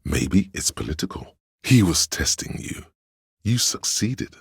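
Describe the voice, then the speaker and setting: A scheming, theatrical servant of drama from a video game, counselling his liege in sly, archaic flourishes. The voice is profoundly low and thick with gravel. It is recorded close and very dry. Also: very animated, a little slow, dry recording, exaggerated voice. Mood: shrewd, advising. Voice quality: gravelly, husky.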